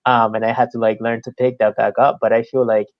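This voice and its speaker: A man's voice: medium pitch, little pitch movement, quick pace, dry recording, lo-fi sound. A man from Peru chatting on a podcast, telling stories in a laid-back way with lots of fillers. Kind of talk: casual podcast conversation.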